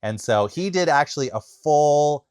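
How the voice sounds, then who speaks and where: A man's voice: on the high side, animated, a little slow, a little mellow, a little hiss or hum. A man chatting casually and enthusiastically, repeating himself for emphasis. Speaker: video creator. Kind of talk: casual chat.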